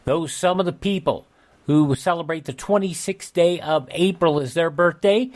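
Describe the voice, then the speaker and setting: A man hosting a radio show, reading out an almanac with casual asides in a halting, conversational way. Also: fairly high pitch. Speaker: radio host.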